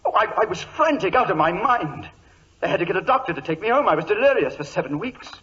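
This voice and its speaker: High-pitched, frantic young English clerk. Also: male voice, big pitch swings, fast talker, a bit bright. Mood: earnest, nervous. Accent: British. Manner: overwrought, exclamatory, stammering. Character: anxious, excitable young English government clerk. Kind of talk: 1940s radio drama acting. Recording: dull, lo-fi old radio recording.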